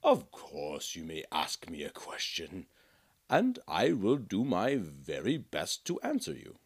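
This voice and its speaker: A man's voice: deep voice, huge pitch swings, slow, breathy edge, exaggerated voice. A man voicing a humble, kindly monk who answers children patiently, in a storybook reading. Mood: gentle. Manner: soft, patient, warm-hearted.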